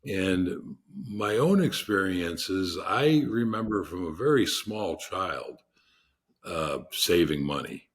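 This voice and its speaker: A man with a deep voice, a podcast guest telling rambling anecdotes in a casual interview, often restarting his sentences. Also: animated, slow pace, breathy edge.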